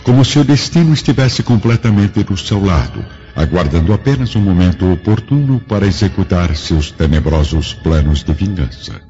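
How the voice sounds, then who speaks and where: A man's voice: low-pitched, lively intonation, moderate pace, few pauses, mellow. A man giving solemn, theatrical scripted narration for a radio drama. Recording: dull lo-fi audio with music.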